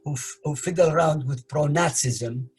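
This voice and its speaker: An older man from Iraq giving deliberate, emphatic commentary in a measured way, repeating key phrases. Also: fairly high pitch.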